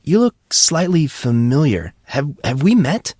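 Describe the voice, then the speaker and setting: A man's voice: a little high, very animated, unhurried, darkish tone, no room sound, studio quality, pitched above the performer's own voice. A man voicing an irritable, awkward teenage schoolboy in an audiobook, blunt and sardonic with the people around him.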